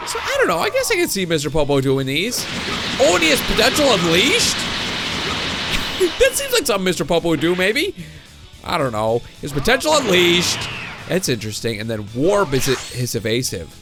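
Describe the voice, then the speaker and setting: Lively young man musing over a game. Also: high-pitched, brisk. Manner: musing, unsure, chuckles often. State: getting over a cold, voice a bit off. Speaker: gaming video host. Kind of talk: casual gameplay commentary. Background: game music.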